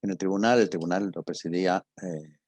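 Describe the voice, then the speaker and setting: An older man with a husky voice and a Castilian Spanish accent, informally answering students as a radio drama teacher in a conversational, storytelling way. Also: low voice, steady tone, brisk, a little mellow, dry recording.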